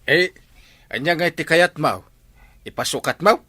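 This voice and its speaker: An actor with an Ilocano accent playing an exasperated but good-hearted village captain in a comedy drama. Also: male voice, a little high, lively intonation, a little slow, sharp tone, slightly rough, no room sound, heavily acted. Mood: questioning.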